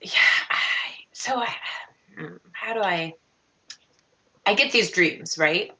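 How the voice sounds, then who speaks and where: A young woman roleplays over a video call. Her voice is bright and a little low for a woman, and she speaks haltingly, circling back and repeating herself. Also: expressive. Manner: halting, repeats words, rambling. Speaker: tabletop game player. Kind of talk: improvised in-character roleplay over online call. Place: home room on a video call.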